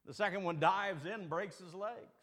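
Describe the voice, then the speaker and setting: High-voiced preacher in a reverberant hall. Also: male voice, moderate pace, a bit bright. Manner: folksy storytelling with jokes and asides. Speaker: pastor. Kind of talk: sermon. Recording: long reverb of a large hall.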